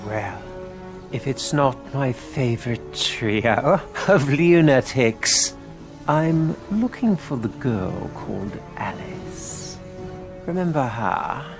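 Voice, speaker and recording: An actor playing a menacing, sardonic henchman of a tyrant queen in a video game, deliberate and threatening. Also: mid-range voice, animated, over music. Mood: sneering menace. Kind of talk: video game cutscene acting.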